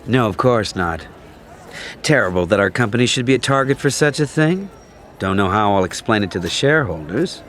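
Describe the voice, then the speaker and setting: Man as a smug, low-voiced corporate executive. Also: lively intonation, a little fast, a bit bright, slight background noise. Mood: polite, restrained. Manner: formal, self-assured, pointed. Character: smug, smooth-talking male corporate executive. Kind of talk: audio drama acting.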